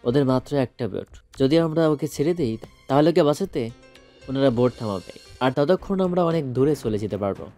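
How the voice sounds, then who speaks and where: Man acting a fast-talking scheming kidnapper. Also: medium pitch, lively intonation, dark tone, lightly acted. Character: scheming male kidnapper henchman, quick-thinking, servile to boss. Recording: background music under the voice.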